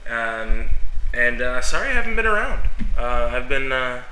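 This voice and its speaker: Bright-voiced young man with a cold. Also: mid-range voice, big pitch swings, normal speed, slight background noise. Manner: rambling, many fillers, laughs at himself. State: stuffy from a cold, sniffling. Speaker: youth pastor. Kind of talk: casual vlog musing. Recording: echoey room.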